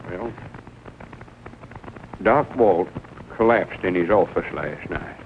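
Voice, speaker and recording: Low male voice, folksy older shopkeeper, rural Southern US accent, earnest, halting, vintage comedy film, hissy thin soundtrack. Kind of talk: 1940s film comedy acting. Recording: scratchy, narrow-band 1940 film soundtrack.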